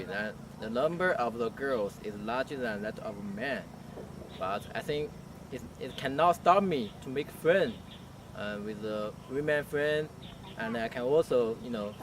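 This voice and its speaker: A young male university student, a native Chinese speaker, giving hesitant prepared answers with many fillers. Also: mid-range voice. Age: young adult. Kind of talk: prepared answers for a language project. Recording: distant mic, street noise behind.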